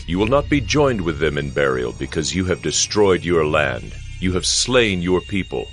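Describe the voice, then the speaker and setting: Very deep-voiced solemn male narrator. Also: big pitch swings, normal speed, soft background music. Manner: solemn, emphatic, declamatory. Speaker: scripture narrator. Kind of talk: dramatic scripted scripture narration.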